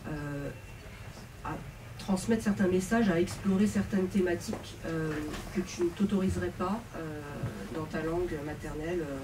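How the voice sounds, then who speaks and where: A woman moderating a bookshop Q&A, speaking in a polite, formal, halting way. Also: lowish voice. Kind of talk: hosting a Q&A. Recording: distant mic with background noise.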